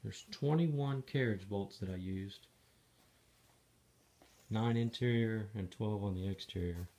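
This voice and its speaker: A man casually narrating, step by step, the assembly of a trailer he is building, talking in a plain, matter-of-fact way with some halting pauses, filmed on a handheld phone in a garage. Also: on the low side, mellow. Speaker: DIY video maker. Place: garage or shop and outdoors by a trailer. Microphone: handheld phone camera.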